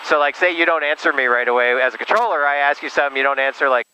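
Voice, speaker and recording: A man's voice: mid-range voice, rapid-fire, clear, resonant tone. A man chatting in an easygoing, folksy way as an interview guest over an aviation headset in a small plane. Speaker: air traffic controller guest flying the plane. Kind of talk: casual interview chat. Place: small airplane cockpit in flight. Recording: muffled headset audio in loud engine noise.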